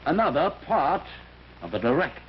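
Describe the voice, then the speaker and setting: A stubborn, excitable investigator in an old-time radio thriller. His fairly high voice swings widely as he insists and pushes. The recording is muffled, with a light hiss. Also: moderate pace. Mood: determined. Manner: insistent, clipped, emphatic.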